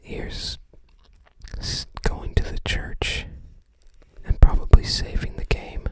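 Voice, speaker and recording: An ASMR host speaking entirely in a breathy whisper, gently musing aloud in unscripted commentary with trailing phrases. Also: takes it slowly, dry recording. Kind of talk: ASMR, unscripted commentary while browsing a game guide book.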